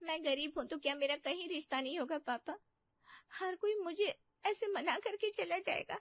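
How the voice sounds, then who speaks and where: A woman's voice: very high-pitched, moderate pace, no room sound, pitched above the performer's own voice. A woman voicing a meek, wretched young woman in a cartoon story, with a plaintive delivery. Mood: tearful, despairing. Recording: muffled dry studio recording.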